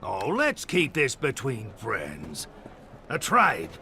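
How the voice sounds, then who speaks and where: An actor playing a taunting middle-aged mercenary villain in a video game, with a gravelly voice and a mocking drawl. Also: male voice, mid-range voice, wildly swooping pitch, slow, bright, forceful tone, slight background noise, full character voice. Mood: taunting menace. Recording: music behind.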